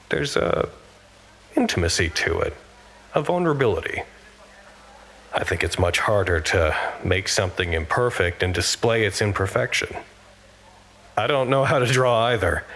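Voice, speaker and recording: An earnest, introspective narrator in an audio drama. His voice sits fairly low, and he speaks in a measured, thoughtful way, with small hesitations as he puts his impressions into words. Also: fairly bright tone. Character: reflective male narrator describing an improvised office art gallery, earnest, uneasy. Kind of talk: scripted audio drama monologue.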